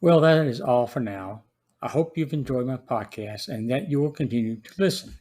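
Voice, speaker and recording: Measured male podcast host. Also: mid-range voice, expressive, darkish tone. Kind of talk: scripted podcast narration and commentary.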